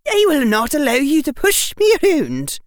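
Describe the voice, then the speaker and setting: Strict dignified witch teacher, high voice, slow and formal, bossy, audiobook character. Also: expressive, dry recording, clean studio sound. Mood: stern, dangerous. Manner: measured, authoritative, formal.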